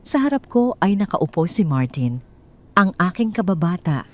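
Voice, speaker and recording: A woman narrating a scripted first-person drama in a measured, bitter, cold way. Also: lowish voice, very animated. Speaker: storyteller.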